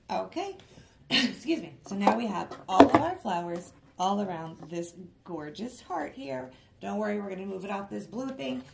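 Woman, hoarse froggy voice, gravelly, chatty diy vlog, self-deprecating, faint hiss. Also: medium pitch, a little mellow. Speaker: craft vlogger. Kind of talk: casual DIY tutorial narration. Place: living room, working at a coffee table.

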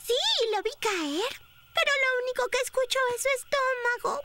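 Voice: extremely high pitch, expressive, normal speed, background noise. An actor voicing a small, sweet, worried young dinosaur in a childlike, plaintive way for a cartoon dub. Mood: anxious. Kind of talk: animated film dub acting.

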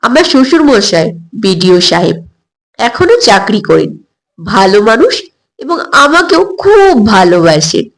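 A woman's voice: mid-range voice, big pitch swings, a little slow, gravelly. A woman narrating an audio story expressively in the first person.

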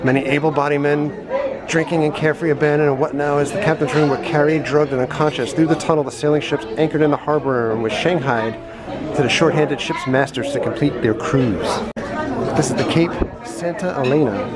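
A man's voice: a little high, fairly quick. A man in his forties giving a wry, chatty running commentary as he tours sights on a trip, filmed on a handheld camera. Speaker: travel vlogger. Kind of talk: casual travel vlog narration. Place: busy indoor restaurant. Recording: noisy restaurant crowd around him.

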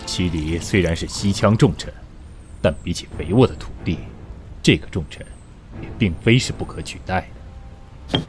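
An actor playing a grave, composed lord in a costume audio drama, with a measured, serious manner. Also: male voice, low-pitched, over music, natural voice. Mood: grave, weighing. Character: grave, composed male lord burdened with state affairs. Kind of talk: Chinese costume radio drama acting.

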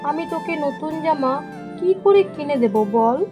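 A woman's voice: high-pitched, lively intonation, moderate pace, mellow, faint music behind. A woman voicing a tender, long-suffering poor village mother in a cartoon story, pleading and affectionate. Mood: sorrowful loving. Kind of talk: cartoon voice acting.

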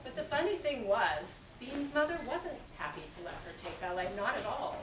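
In a reverberant, noisy library room, picked up from a distance, a middle-aged American woman reads a children's story. Her delivery is animated and projected for young listeners. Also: on the high side, normal speed. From California, US. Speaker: children's author reading at a library. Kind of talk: expressive children's story reading. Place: library reading area, indoors. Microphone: camera a few metres away. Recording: echoey, noisy room, distant camera mic.

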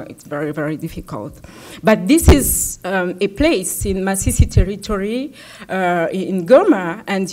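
A young woman from the Democratic Republic of Congo giving a talk at a podium in a conference room, pausing often with fillers and repeating words. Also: medium pitch, a little mellow. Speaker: activist speaker. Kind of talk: presentation at a podium. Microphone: podium microphone.

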